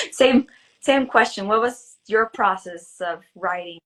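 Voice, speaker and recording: A woman author from the United States answering interview questions in a hesitant, halting way with frequent restarts. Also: on the high side, animated, bright, forceful tone. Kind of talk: casual interview chat.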